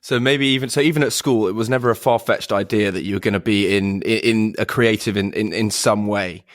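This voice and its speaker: A man's voice: fairly low pitch, fairly quick, no echo, studio quality. A man hosting a podcast interview, asking long questions with many hesitations and restarts. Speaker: podcast host.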